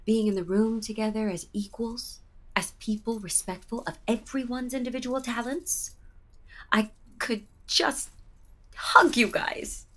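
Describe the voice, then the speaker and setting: An actress playing a gushing, eager theater collaborator in an audio comedy, effusive and emphatic. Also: female voice, on the high side, a little slow, a bit bright. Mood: sentimental, gushing. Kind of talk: audio comedy drama acting.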